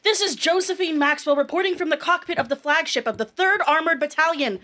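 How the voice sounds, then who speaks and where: An earnest female war correspondent, played by a woman in a radio drama. She has a high voice and reports in grand, florid phrases with dramatic weight. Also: fairly quick, bright, forceful tone. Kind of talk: radio play reporting, scripted.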